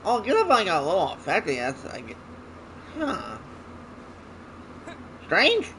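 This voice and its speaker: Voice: medium pitch, wildly swooping pitch, unhurried, fairly bright tone, a little hiss or hum. A gamer giving casual gameplay commentary, with exclamatory, muttered reactions and some swearing. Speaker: gamer reacting while playing.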